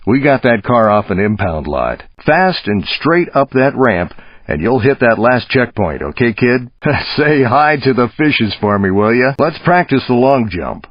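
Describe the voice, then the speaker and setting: A man playing a gruff, encouraging American movie stunt coordinator in a video game, folksy and streetwise, with a raspy voice. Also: medium pitch, dry recording. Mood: wry, streetwise. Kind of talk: video game coaching dialogue. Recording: dry but dull lo-fi audio.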